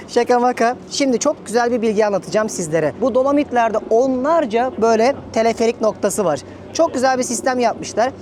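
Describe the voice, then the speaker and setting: A man's voice: high-pitched, darkish tone, slight background noise. A man hosting a casual travel vlog, talking to viewers in a chatty, informal, joking way. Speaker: travel vlogger.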